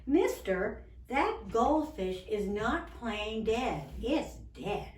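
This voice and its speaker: A woman's voice: a little high, lively intonation, very slow, a little mellow, echoey room, distant mic, voice pushed up. A woman voicing a stubborn, plain-spoken little girl in a storybook reading, firm and insistent.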